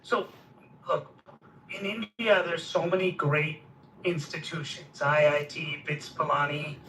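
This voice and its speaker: A man's voice: on the high side, fairly even intonation, unhurried, bright, forceful tone, recorded from a distance, a little hiss or hum. A man hosting a discussion, probing with questions and cutting in to steer the talk. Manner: probing, interrupting. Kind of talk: podcast discussion. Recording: roomy echo, off mic.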